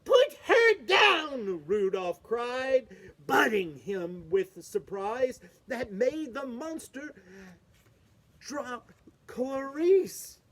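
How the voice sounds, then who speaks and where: A woman reading a children's picture book aloud, slow and expressive. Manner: slow, expressive, lively pitch swings. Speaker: storybook reader. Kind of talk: children's read-aloud narration.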